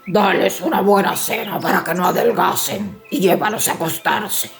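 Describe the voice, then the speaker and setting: A woman voicing a cruel, hungry male ogre in a storybook, with a gravelly, threatening, drawn-out delivery. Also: medium pitch, over loud music, pitched below the performer's own voice, lightly acted. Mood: gruff, commanding.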